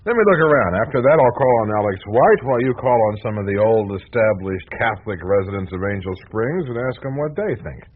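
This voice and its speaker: An actor playing a blunt, self-assured middle-aged American newspaper reporter in a radio drama. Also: male voice, lowish voice, lively intonation, quick pace, few pauses, slightly rough, phone-quality sound. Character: cocky, gut-trusting veteran American male newspaper reporter. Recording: thin band-limited radio recording.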